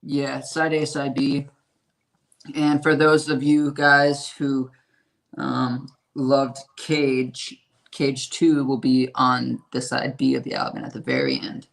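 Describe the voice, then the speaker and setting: A man with a raspy, hoarse voice, talking about his music in a casual interview and rambling with lots of fillers and swearing. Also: medium pitch, level tone, slow, poor audio. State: hoarse from a strained voice. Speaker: independent musician.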